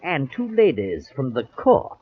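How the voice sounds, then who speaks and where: An actor playing a corrupt, gleefully scheming colonial governor in an old-time radio drama. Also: male voice, medium pitch, huge pitch swings, measured pace, husky, full character voice. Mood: conspiratorial. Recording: muffled vintage radio recording.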